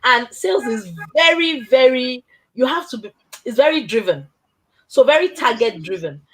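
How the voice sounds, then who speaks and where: A woman with a husky, slightly breathy voice pitched a little high, hosting a relaxed conversation about careers. She tells stories with animation, laughs often and drives her points home with emphatic tag questions. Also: normal speed, poor audio. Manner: emphatic, tags points with "right?". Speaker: host. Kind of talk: casual chat.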